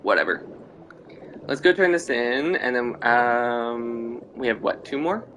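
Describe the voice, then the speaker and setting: A man's voice: medium pitch, lively intonation, slow pace, a bit bright, slight background noise, rough recording. A man hosting a game livestream with casual, chatty commentary, often remarking on himself. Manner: informal, chatty, self-commenting. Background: video game music.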